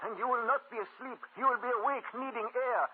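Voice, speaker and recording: A man's voice: extremely high pitch, fairly even intonation, fast, breathy edge. An actor playing an earnest, urgent, desperate male mystic in melodramatic old-time radio horror. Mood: grave warning. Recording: tinny, lightly noisy old radio recording.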